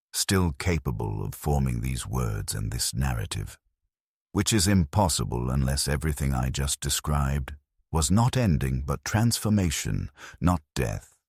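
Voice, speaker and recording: A man narrating a dark first-person sci-fi story as a bleak, resigned dead convict soldier, in a grave, measured, deliberate way. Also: extremely low pitch, husky, no room sound, clean studio sound. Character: bleak, resigned ghost of a condemned legionnaire.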